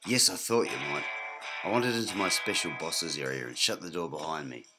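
Deep dry New Zealand detective narrator. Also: male voice, very animated, brisk. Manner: dry, hard-boiled detective delivery. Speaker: narrator and lead comic actor. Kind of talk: deadpan comic noir narration. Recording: music playing behind voice. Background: music.

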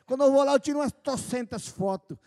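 A middle-aged Brazilian man hosting a country-music TV show in a studio, chatting with guests and shouting greetings to viewers in a folksy, exclaiming way. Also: extremely high pitch, fast, long reverb tail. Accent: Brazilian Portuguese. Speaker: TV show host. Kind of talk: casual studio chat and shout-outs. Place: TV studio set dressed as a country bar. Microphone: handheld mic.

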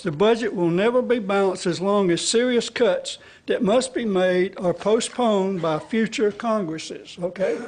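A man's voice: high voice, darkish tone, husky. An older man gives rambling, folksy public testimony at a podium, often checking in with his listeners. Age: older, 70s or above. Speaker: citizen activist testifying to a legislative committee. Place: large indoor hearing room with seated audience. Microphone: gooseneck podium microphone.

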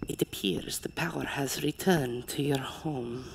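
An actress playing an eerie old woman who is a spirit medium, speaking slowly and ominously in an audio drama. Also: a bass voice, gravelly, a little hiss or hum, exaggerated voice, poor audio. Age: older.

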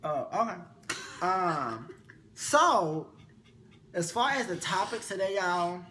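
A young man co-hosting a casual chat show, sassy and animated, his voice swinging up high, recorded in a small bedroom. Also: slow pace, noisy background. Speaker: co-host.

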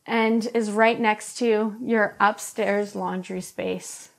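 A woman's voice: medium pitch, steady tone, slow pace. A woman in her thirties hosting a walk-through house tour, describing each room in an upbeat presenter manner inside an empty show home. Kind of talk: friendly real estate walkthrough. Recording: faint background music under voice.

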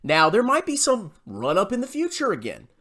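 A man's voice: sits high, huge pitch swings, normal speed, sharp tone. A man giving blunt, exasperated, sarcastic reaction commentary in a small room at home. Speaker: YouTube host reacting to a video.

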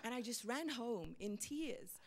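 A woman's voice: fairly high pitch, measured pace. A middle-aged woman from Egypt, telling a personal story on stage in a large hall, speaking expressively into a handheld microphone. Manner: animated, expressive, gestures as she talks. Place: large hall with a live audience.

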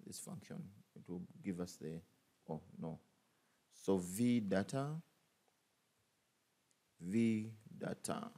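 Low, mellow man talking through code. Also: slow pace, pauses often. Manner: thinks aloud, many fillers and restarts. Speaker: programming tutor. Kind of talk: screen-recorded coding tutorial.

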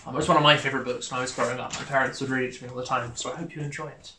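A man's voice: medium pitch, fairly even intonation, racing, echoey room, background noise. A young man reading a children's story aloud expressively. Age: young adult. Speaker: storybook reader. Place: small indoor room, on a sofa.

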